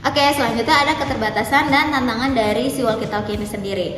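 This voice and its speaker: A woman's voice: on the high side, fast, fairly bright tone, faint music behind. A young woman explaining points informally as a student presenter. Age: young adult. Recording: echoey hall with background noise.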